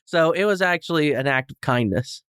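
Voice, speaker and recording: A man's voice: a little high, expressive, moderate pace, fairly bright tone, smooth, no room sound, studio quality. A man in casual, joking conversation as a podcast reviewer. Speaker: podcast co-host or guest reviewer.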